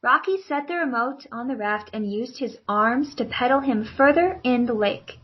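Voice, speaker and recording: A woman's voice: a little high, lively intonation, moderate pace, lo-fi sound, slight background noise. A woman narrating a children's storybook as a scripted read-along.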